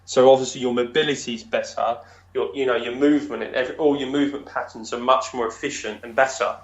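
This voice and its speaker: Podcast guest, man, deep voice, loose rambling chat. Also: fairly even intonation, fast talker, highs cut off. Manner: rambling, many fillers and restarts. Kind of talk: casual interview chat.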